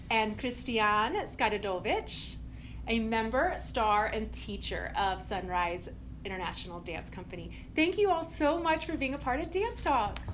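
A woman hosting an interview podcast, reading a measured introduction and asking short questions. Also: a little high, fairly bright tone, a little hiss or hum. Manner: scripted, measured introductions and short encouraging replies. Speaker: podcast host.

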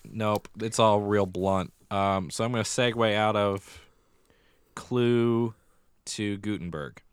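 A man's voice: fairly low pitch, takes it slowly, clear, resonant tone, no room sound. An American man co-hosting a podcast, chatting casually with a wry, dry sense of humor. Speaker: podcast co-host. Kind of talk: casual theatre news chat.

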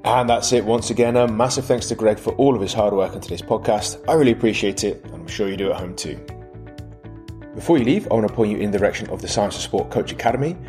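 Fast-talking male podcast host. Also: on the low side, darkish tone, faint music behind. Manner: upbeat, read from a script. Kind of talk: scripted outro and promo.

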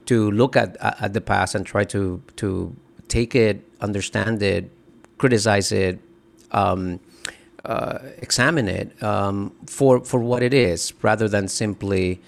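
A middle-aged man from the Dominican Republic, an author answering interview questions in a halting, thoughtful way with many restarts. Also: on the low side, creaky. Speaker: author and guest.

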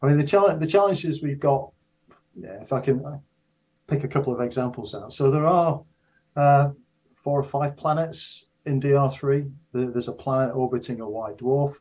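An older man speaking as an expert guest in an interview, explaining carefully in a measured, unscripted way with restarted phrases. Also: medium pitch, a little mellow, highs cut off. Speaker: interview guest, astrophysics professor.

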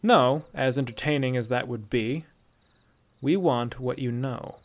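A man's voice: mid-range voice, measured pace, lightly acted. A man voicing a stern, unyielding Imperial commander in a fantasy story, curt and firm. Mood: cool, menacing.

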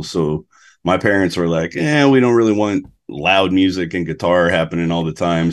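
Man, very low voice, laid-back rambling talk, podcast guest. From Alaska. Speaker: podcast guest, metal guitarist. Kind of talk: casual interview chat.

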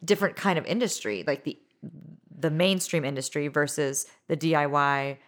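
Woman in her thirties, low voice, deep-pitched, rambling casual talk, musician guest. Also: normal speed. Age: 30s. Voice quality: low singing voice. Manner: rambling, many fillers like "you know" and "like". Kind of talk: casual interview chat.

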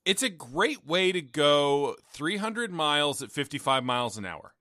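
A tired man hosting a podcast, chatting casually on a gaming headset and trading dry, deadpan jokes with his co-host. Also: on the high side, measured pace, no room sound. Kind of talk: casual chat.

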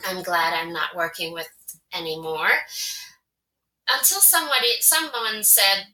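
Bright-voiced Swedish woman in an echoey room. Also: fairly low pitch. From Sweden. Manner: thoughtful, pauses to find words. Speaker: guest, translator. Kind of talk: conversational interview. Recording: roomy echo.

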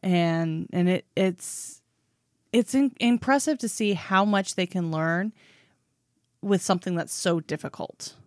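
A woman's voice: lowish voice, a little slow, no room sound, studio quality. A woman co-hosting a podcast, speaking in a thoughtful, rambling way during casual conversation. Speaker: podcast co-host.

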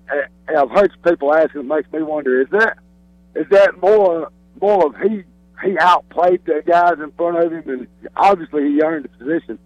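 A man's voice: a little high, no room sound. Male caller on a dull phone line. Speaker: radio show caller. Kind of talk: casual call-in chat.

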